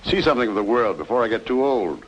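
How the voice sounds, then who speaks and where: An actor playing a soothing, persuasive older friend with a gravelly voice in an old radio drama, uneasy underneath. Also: male voice, medium pitch, big pitch swings, fast talker. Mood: coaxing. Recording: dull, noisy old radio recording.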